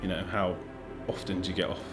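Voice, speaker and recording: Male interviewee, animated self-deprecating storyteller, clear music underneath. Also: deep voice, quick pace. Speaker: audio drama director. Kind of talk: casual interview anecdote. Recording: music playing behind the voice. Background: background music.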